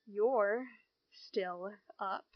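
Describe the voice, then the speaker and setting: A slow, wistful bored teen girl. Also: medium pitch, highs cut off, noisy background. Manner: slow, inward, murmuring thoughts.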